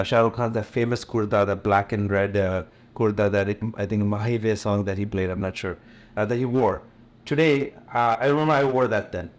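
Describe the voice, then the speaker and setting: Low-voiced American man mixing English and Hindi. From USA, born in India. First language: Gujarati. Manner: relaxed, mixes English with Hindi, frequent fillers and light laughs. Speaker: event promoter, interview guest. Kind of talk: casual interview conversation.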